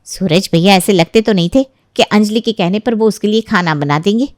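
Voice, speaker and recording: A woman's voice: mid-range voice, brisk, dry recording, clean studio sound, lightly acted. A woman playing a jealous young married neighbour, falsely sweet and sly, in a dramatized moral story. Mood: scheming. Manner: sly, insinuating, mock-hesitant.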